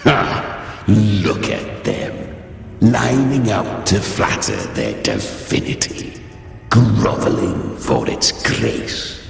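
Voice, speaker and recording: A man's voice: huge pitch swings, drawn-out words, heavily acted. A man voicing a contemptuous, arrogant ancient monster in a fantasy game, with a growling, theatrical, commanding delivery. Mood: mocking, scornful. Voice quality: deep gravelly growl. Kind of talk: dramatic fantasy game acting. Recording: echoing hall reverb, distant, music underneath.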